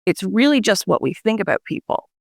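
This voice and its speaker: Woman academic with a gravelly edge. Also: medium pitch, very animated, brisk, dry recording, clean studio sound. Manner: explanatory, many hedging fillers. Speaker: psychology researcher guest. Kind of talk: radio interview, explaining research.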